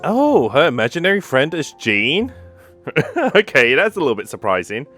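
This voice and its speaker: A woman's voice: rumbling low, big pitch swings, moderate pace, a bit bright, faint music behind. A woman gaming streamer giving casual Let's Play commentary with offhand, murmured reactions.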